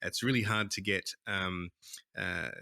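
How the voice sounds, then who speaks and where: A man's voice: sits low, fairly even intonation, a little slow, creaky, bright, forceful tone, no echo, clean studio sound. A man with an Australian accent, a guest in an interview, talking in a hesitant way with many restarts and fillers. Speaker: guest, tech founder. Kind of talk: conversational interview.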